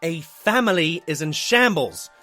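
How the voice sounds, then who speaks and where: Compassionate pony doctor and sidekick, male actor: high, animated, forceful, emphatic, scripted drama delivery. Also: a little slow, faint music behind. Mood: indignant, pleading. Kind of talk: scripted audio drama acting and narration.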